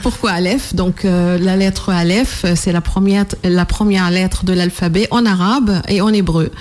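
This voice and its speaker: A woman's voice: on the low side, unhurried, a little mellow. Hesitant woman with a Palestinian accent. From Palestine, near Jerusalem. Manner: hesitant, frequent fillers. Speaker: guest, language trainer. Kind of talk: radio interview.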